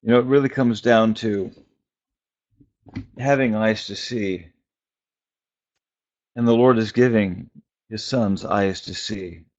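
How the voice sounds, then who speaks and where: A man giving an informal, reflective sermon in short, halting phrases with long pauses between them. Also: lowish voice, fairly even intonation, a little mellow. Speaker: preacher and spiritual teacher. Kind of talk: informal prophetic sermon on a conference call. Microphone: phone conference call. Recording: dull, band-limited conference call audio.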